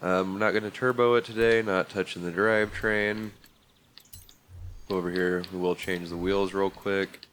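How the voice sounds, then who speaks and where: A man's voice: sits low, fairly even intonation, normal speed, a bit bright. A man hosting a racing game video, talking casually through a vehicle build while congested from a bad cold. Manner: easygoing, methodical walkthrough with fillers. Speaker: gaming video host. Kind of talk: casual gameplay commentary.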